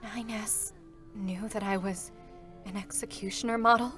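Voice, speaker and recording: Woman as a sombre female android soldier, very slow, halting, restrained anguish, game drama, prominent music behind. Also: mid-range voice, fairly even intonation, fairly bright tone, natural voice. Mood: quietly bitter. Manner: restrained, halting, emotionally weighted. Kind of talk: dramatic stage reading of a game story.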